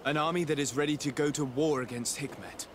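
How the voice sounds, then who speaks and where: A man playing a calm, courteous noble envoy in a video game, speaking in a measured, formal, conciliatory way. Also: on the high side, a little hiss or hum, close to an everyday voice. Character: calm diplomatic male legate, courteous young noble envoy. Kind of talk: scripted video game cutscene dialogue.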